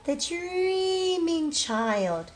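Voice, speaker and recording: A woman teaching young children in a read-aloud lesson, asking questions, pausing and drawing out key words for emphasis. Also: extremely high pitch, big pitch swings, a little hiss or hum. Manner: prompts with questions, pauses, affirms answers, drawn-out emphasis on key words. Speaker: early literacy teacher.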